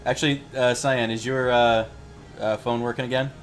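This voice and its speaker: A man's voice: mid-range voice, fairly even intonation, moderate pace, soft background music. A man hosting a game stream with casual gameplay commentary.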